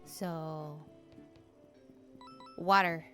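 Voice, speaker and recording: Low, monotone woman streamer. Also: extremely slow, over music. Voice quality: low, slightly raspy voice. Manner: monotone, dry, joking. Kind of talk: casual let's-play commentary.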